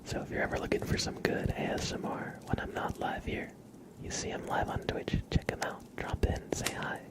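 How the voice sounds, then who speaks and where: A man hosting an ASMR livestream, speaking gently and unhurriedly in a breathy whisper with some gravel in it. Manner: soft-spoken, gentle, unhurried. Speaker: ASMR live streamer and host.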